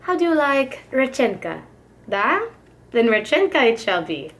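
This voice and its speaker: A woman's voice: fairly high pitch, sing-song, measured pace, a bit bright, full character voice. A woman voicing a kind old Russian grandmother from a storybook, affectionate and exclamatory, with a few Russian words. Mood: tender, concerned.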